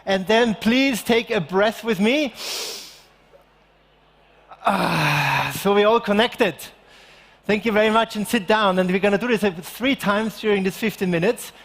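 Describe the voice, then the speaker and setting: A middle-aged man with an Austrian accent, giving a lively keynote on a large conference stage and getting the audience involved. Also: high-pitched, a little slow. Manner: animated, engages the audience. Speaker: keynote speaker.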